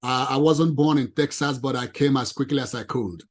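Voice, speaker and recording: A man with a non-native English accent giving an animated, emphatic presentation to a professional audience. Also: mid-range voice, normal speed. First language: not English. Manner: emphatic, lively, addresses ladies and gentlemen.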